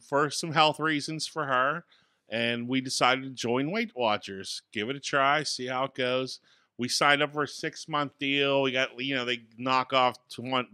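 A man in his forties, co-hosting a laid-back tech podcast, talks in an easygoing, self-deprecating way. His voice is bright and crisp. The sound is dry and close on a studio boom mic in a small treated room. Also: no room sound. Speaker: podcast co-host. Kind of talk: casual tech podcast chat. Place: small home studio with acoustic panels. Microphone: studio mic on boom arm.

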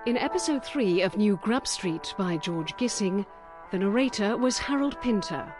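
A woman's voice: medium pitch, lively intonation, normal speed. A woman radio announcer reading scripted credits in a formal announcing style. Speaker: continuity announcer. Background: music.

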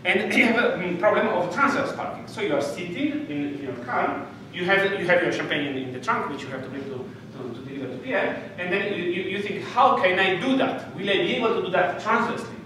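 A middle-aged man with an Italian accent giving a lively, playful physics lecture, pacing and gesturing as he checks in with the audience. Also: high-pitched, fairly quick, hardly any pauses, recorded from a distance. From Italy. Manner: animated, gesturing, playful storytelling with frequent "okay?" checks. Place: dark lecture hall with projector screen. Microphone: distant room mic, speaker walking around. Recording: echoey lecture hall recorded from afar with room noise.

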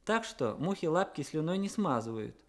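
A man with a fairly high voice speaks as a guest scientist. He gives a prepared explanation for young listeners in measured, orderly phrases. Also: very animated. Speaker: guest expert, entomologist. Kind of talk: prepared educational talk for children.

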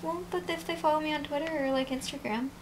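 Bubbly high-voiced young woman, noisy audio. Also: fairly even intonation, fast talker. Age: young adult. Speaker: vlogger. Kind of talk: casual chat. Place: small bedroom indoors.

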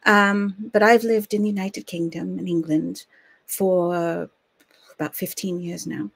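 A woman's voice: lowish voice, steady tone, measured pace, vocal fry, breathy edge, dry recording. An American woman from the United States in her thirties, hosting a live-streamed history show from her home study in a chatty, friendly way with small fillers. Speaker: host.

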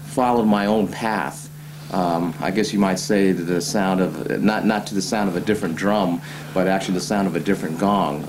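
Man, forties, very low pitch, reflective and hesitant, home interview, noisy camera audio. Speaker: musician interviewee. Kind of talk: seated interview. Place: living room, seated in armchair. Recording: noisy home video recording.

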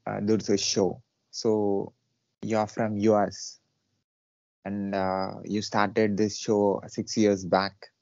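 Man hosting a podcast, halting and filler-heavy, low mellow voice, dry muffled sound. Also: no echo. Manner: hesitant, many fillers. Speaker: podcast host. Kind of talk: casual interview.

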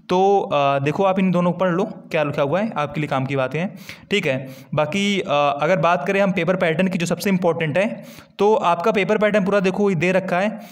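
A man gives an informal, conversational explainer as an exam-preparation host, repeating key points as he walks through a document. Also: on the high side. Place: screen-recorded voice-over.

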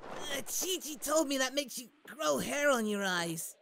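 A man's voice: very high voice, expressive, a little slow, gravelly, a bit bright, a little hiss or hum, full character voice. A man playing a simple-minded, cheerful martial arts hero in a cartoon parody dub. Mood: confused, naive.

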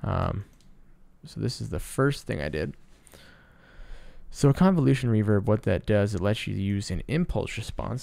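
A man with a lowish, gravelly voice narrates a casual tutorial. He explains things in a low-key way, recorded dry and close with little room sound. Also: darkish tone, no room sound. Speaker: sound designer, tutorial presenter.

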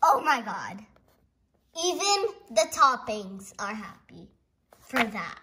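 A young girl hosting a read-along, reading a picture book aloud and reacting chattily in a giggly, excitable way. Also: on the high side, slow, noisy background. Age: child. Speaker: host of a read-along video. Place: indoors at a dark wooden table. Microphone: camera pointed down at the book.

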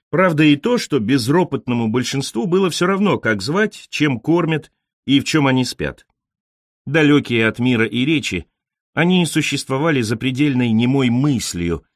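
A man reading a first-person literary story as an audiobook narrator, in a measured, expressive storytelling manner on a close studio microphone. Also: mid-range voice, no echo.

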